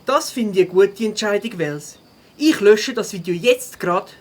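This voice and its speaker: A woman voicing a fair-minded young beaver schoolchild in a children's audio drama, earnest and expressive, with a Swiss German accent. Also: medium pitch, measured pace, a little hiss or hum, lightly acted. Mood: approving.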